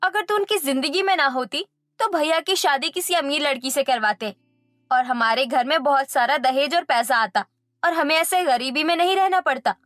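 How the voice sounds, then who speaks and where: A woman's voice: high voice, moderate pace, fairly bright tone, no room sound, clean studio sound, faint music behind. A woman voicing a cruel, spiteful in-law in a Hindi cartoon story, scolding and menacing.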